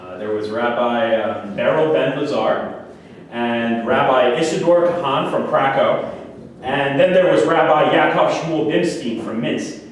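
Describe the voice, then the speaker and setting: A man's voice: medium pitch, unhurried, lightly acted. A man in a staged play reading, playing a grandiose, argumentative, flirtatious psychiatric patient with delusions. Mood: reflective. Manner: argumentative, rambling, self-justifying. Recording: very echoey room, distant mic, faint noise.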